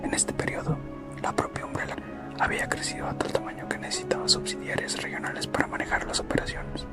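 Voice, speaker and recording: A man whispering a scripted ASMR roleplay narration in a hushed, explanatory manner. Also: fast. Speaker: ASMR roleplay host, playing a corporate recruiter. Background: music.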